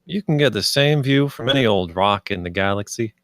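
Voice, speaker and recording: A man's voice: fairly low pitch, expressive, fairly quick, a bit bright, no echo. A man reading the part of an ailing but wry, easygoing man in a screenplay table read, matter-of-fact.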